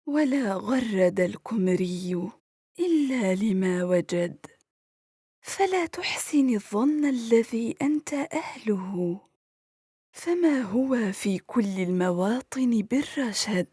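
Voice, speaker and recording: A woman's voice: on the high side, a little mellow, breathy edge, no room sound, clean studio sound. A woman reciting poetry.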